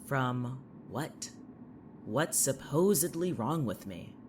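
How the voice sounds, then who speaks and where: A man's voice: mid-range voice, animated, slow, background noise. A young man acting in an audio drama as a sarcastic, irritable young man trapped in a horror town, dry and defiant. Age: young adult.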